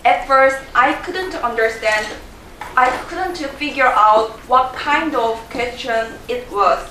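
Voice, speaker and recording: Slow Korean-accented woman presenting live. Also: fairly high pitch, sharp tone, slight background noise. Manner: interactive, quizzes the audience and laughs often. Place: large room with a live audience. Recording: echoey room from a distance.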